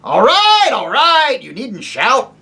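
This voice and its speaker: A reader voicing a very old, deaf and irritable male dwarf who talks loudly and mishears words, in a storybook reading. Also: mid-range voice, huge pitch swings, slow pace, vocal fry, pitched above the performer's own voice, exaggerated voice. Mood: testy, loud. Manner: loud, testy, mishears words.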